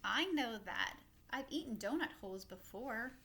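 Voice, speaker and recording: A polite little boy who just moved from far away, as a woman reads him aloud. He answers softly and plainly. The voice is bright and clear. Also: on the high side, lively intonation, unhurried, exaggerated voice. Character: young boy newly moved from abroad, polite.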